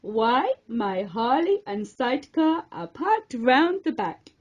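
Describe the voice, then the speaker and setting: A woman's voice: on the high side, big pitch swings, slow, pitched below the performer's own voice, full character voice. A woman voicing a cheerful, plucky female lab worker with a soothing, mischievous streak in a rhyming storybook, slightly dull recording. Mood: breezy.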